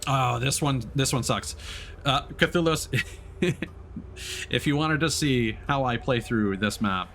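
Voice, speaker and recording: A man's voice: mid-range voice, takes it slowly, fairly bright tone, slight background noise. A man giving live video game commentary as a streamer, sweary and grumbling as he talks. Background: racing game music and engine sounds.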